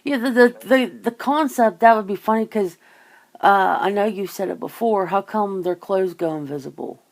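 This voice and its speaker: A woman's voice: mid-range voice, normal speed, a little mellow. A woman in a casual, rambling chat, musing informally as she hosts. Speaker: ASMR channel host chatting with a guest.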